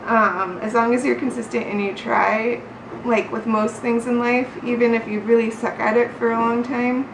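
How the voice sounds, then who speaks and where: A woman with a slightly high voice talks casually, as in a study vlog. Her way of speaking is informal, self-deprecating and encouraging. The recording is noticeably echoey, with clear ambient noise behind her. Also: little pitch movement, normal speed. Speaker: vlogger learning a language. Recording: roomy echo, clear background noise.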